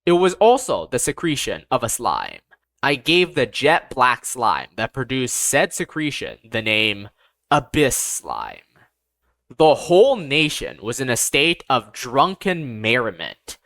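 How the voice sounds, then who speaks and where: A narrator of ambiguous-sounding gender reading a first-person fantasy story in a measured, deliberate, expressive way. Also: medium pitch, dry recording. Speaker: audiobook narrator.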